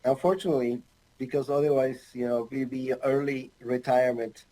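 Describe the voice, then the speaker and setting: Mellow-voiced man from Ecuador lecturing. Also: mid-range voice, steady tone, low-quality recording. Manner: halting, many fillers, light humor. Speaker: anesthesiologist presenter. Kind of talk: recorded online medical lecture. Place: home office with bookshelves.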